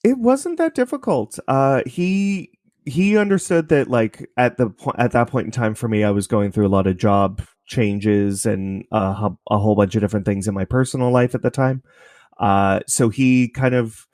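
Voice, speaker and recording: A man's voice: lowish voice, a little mellow, no echo, studio quality. A man talking casually as a podcast guest, explaining things in a rambling, self-correcting way. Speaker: podcast creator, interview guest. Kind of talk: casual interview chat.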